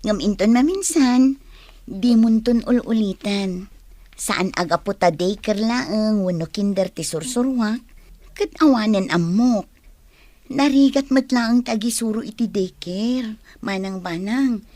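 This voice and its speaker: A woman playing a forgiving, dignified daycare teacher in an Ilocano radio drama, speaking in a measured, earnest way. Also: medium pitch, warm tone, no room sound, close to an everyday voice. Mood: calm, forgiving.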